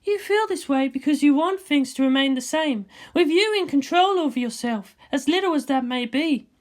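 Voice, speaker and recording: A man voicing a cool, sensible woman scientist with a measured, dryly chiding manner in a fan fiction reading. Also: extremely high pitch, animated, voice pushed up. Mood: earnest, advising.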